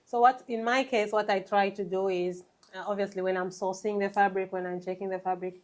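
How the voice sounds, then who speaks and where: A woman's voice: mid-range voice, fairly even intonation, brisk. A woman from Zambia, around her thirties, talking casually about running her shop in an interview, with lots of "you know" and "like". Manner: warm, chatty, many fillers like "you know".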